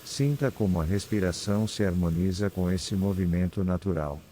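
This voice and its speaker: A man with a Brazilian accent guides a scripted meditation in a calm, soothing, unhurried manner with long pauses. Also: sits low, slight background noise. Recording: clear background ambience of ocean waves. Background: sea waves ambience.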